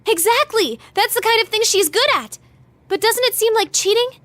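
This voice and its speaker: A woman's voice: extremely high pitch, lively intonation, normal speed, fairly bright tone, no echo. A woman playing a perky, chatty teenage pop idol girl in a video game, gossipy and emphatic. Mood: exasperated. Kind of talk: animated video game voice acting.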